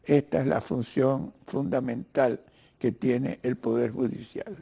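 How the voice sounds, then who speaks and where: An older man with a Cuban-Argentine Spanish accent, speaking haltingly and professorially as a guest in a political interview, often repeating words as he searches for the next. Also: mid-range voice, fairly even intonation, creaky, dark tone, husky. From Cuba. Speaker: interview guest, writer and lawyer. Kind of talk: political discussion in a broadcast interview.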